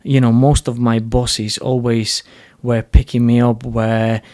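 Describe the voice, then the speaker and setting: A man's voice: mid-range voice, flat delivery, unhurried, darkish tone, dry recording. Frank Eastern European man, dry sound. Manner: frank, blunt, swears casually. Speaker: podcast host. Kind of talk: casual conversation.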